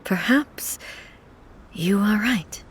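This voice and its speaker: A woman's voice: mid-range voice, drawn-out words. A gracious, dignified ruler from a fantasy video game. She speaks formally and with composure, in a mid-range voice, over faint background noise. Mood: gentle.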